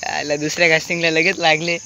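A man with a very bright, forceful voice, pitched a little high, chats casually. He is recorded outdoors on a phone with faint insect noise behind him. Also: flat delivery, fast talker. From India. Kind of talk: casual chat. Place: outdoors on a riverbank.

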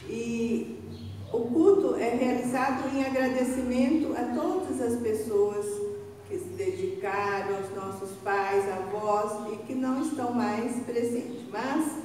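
Slow older woman in an echoey hall. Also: on the high side, a little mellow, distant mic, a little hiss or hum. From Brazil. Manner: slow, halting, with many fillers and restarts. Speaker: church leader giving announcements. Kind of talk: unscripted church announcements. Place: large church hall indoors, altar behind. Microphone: stand mic a little off. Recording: echoey hall recorded from afar.

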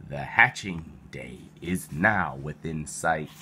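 A man reading a children's picture book aloud in an expressive storytelling style, animated and playful. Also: rumbling low, slow pace, slight background noise. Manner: animated, with playful exclamations and sound effects.

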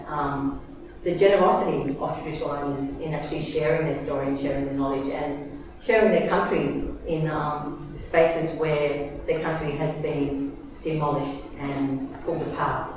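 A woman's voice: low-pitched, background noise. A middle-aged woman with an Australian accent, introducing a guest speaker at a university event in a casual, chatty way with many fillers and self-corrections. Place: large university lecture hall. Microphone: lectern microphone, recorded from far back. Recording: echoey hall from far off.

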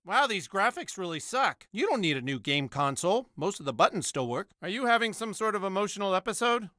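An American man voicing a secretive, frazzled suburban dad in an animated comedy video game, prone to foul-mouthed rages. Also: sits high, no room sound, studio quality, full character voice. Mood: friendly, awkward.

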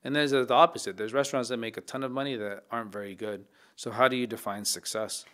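A man in his thirties talks through his career as a chef in a calm, reflective way. He often restarts his sentences, and his pitch sits in the middle. The sound is close and clean, from a studio mic on a boom arm. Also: fast talker. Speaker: chef, podcast guest. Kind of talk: casual interview talk. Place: dark podcast studio.

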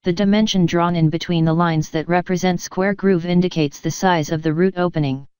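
A woman instructor narrates a scripted technical lesson in a calm, even, measured manner. Her voice is slightly low and very clear and clean. The recording is dry and close, with no room sound. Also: dry recording. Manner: measured, even explanatory delivery.

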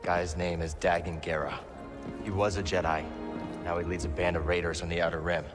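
An actor playing a weary, earnest young Jedi rebel in a video game, speaking seriously. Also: male voice, low-pitched, little pitch movement, a little fast, music in the background, natural voice. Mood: serious, businesslike. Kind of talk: cinematic video game acting. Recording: long hall reverb, game score behind.

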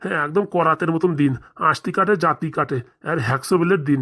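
A man's voice: a little high, fast, sharp tone. Cartoon comedy: male actor as garrulous, greedy elderly village priest, breakneck delivery. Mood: boastful. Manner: rambling, repetitive, folksy.

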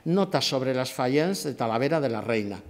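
A man in his sixties giving an academic lecture from a table at a conference, measured and often restarting his phrases. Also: mid-range voice, expressive. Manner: measured, with frequent restarts and fillers. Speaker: conference speaker. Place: lecture hall, seated at a table with a laptop. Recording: long hall reverb.

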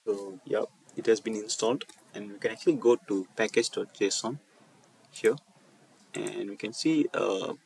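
Low-pitched man giving a halting coding tutorial. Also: gravelly, a little mellow, slightly rough, dry recording. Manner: halting, repeats and rephrases phrases. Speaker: tutorial presenter. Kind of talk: screen-recorded coding tutorial, unscripted walkthrough.

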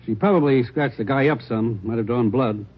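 An actor playing a laconic, matter-of-fact American police lab technician in an old radio drama, on a muffled recording. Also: male voice, mid-range voice, moderate pace, breathy edge, lightly acted. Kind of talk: 1950s radio drama acting.

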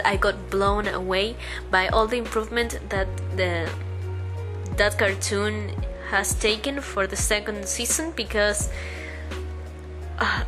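A young woman from Uruguay with a Spanish accent, a native Spanish speaker, chatting hesitantly over a speedpaint video with many ums and restarts. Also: medium pitch. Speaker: artist answering viewer questions. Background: soft background music.

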